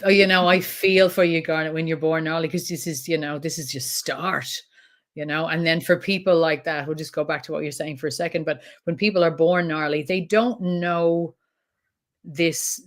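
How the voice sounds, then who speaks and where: A woman with an Irish accent, a trauma expert talking as a guest in an interview, blunt and animated, swearing freely. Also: deep voice. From Ireland. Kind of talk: podcast interview conversation.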